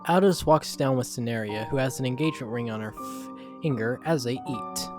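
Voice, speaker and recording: A man's voice: mid-range voice, moderate pace, over music. A man narrating a scripted dramatic story, now and then stumbling over the words.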